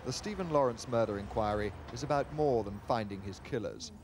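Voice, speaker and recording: A man's voice: mid-range voice, brisk, background noise. A man reading a scripted television news report as a reporter. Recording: archive TV report with street hubbub.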